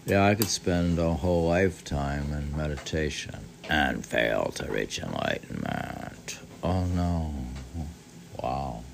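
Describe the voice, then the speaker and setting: An older man reading aloud and adding rambling, reflective commentary in a halting, musing way, as if talking to himself. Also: extremely low pitch, animated, gravelly. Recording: faint background noise.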